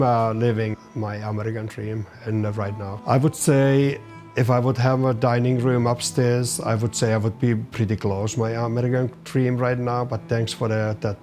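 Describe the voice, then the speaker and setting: A middle-aged man from Finland with a Finnish accent, a chef answering interview questions in halting English, searching for words as he goes. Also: mid-range voice, darkish tone. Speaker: chef and business owner.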